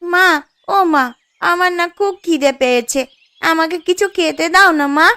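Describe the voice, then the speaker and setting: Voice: very high voice, normal speed, pushed and bright, full character voice. A performer playing a whiny, pleading, always hungry young village daughter in a children's folktale cartoon. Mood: whining, pleading. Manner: pleading, whining. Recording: music under the voice.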